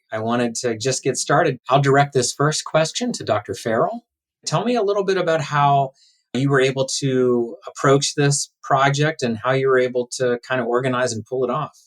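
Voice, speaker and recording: A man's voice: mid-range voice, moderate pace. A man hosting an interview podcast, speaking in a conversational style as he introduces and questions guests.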